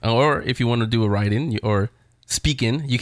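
A man's voice: mid-range voice, normal speed, no room sound, studio quality. A man hosting a casual interview show, chatting in a joking, wisecracking way.

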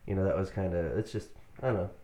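A man's voice: low-pitched, steady tone, fast, dark tone. A man in his thirties with an American accent, chatting casually as a guest in a rambling, conversational way. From Southern California, United States. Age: 30s. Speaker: interview guest, band member. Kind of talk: casual chat. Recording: roomy, echoey sound.

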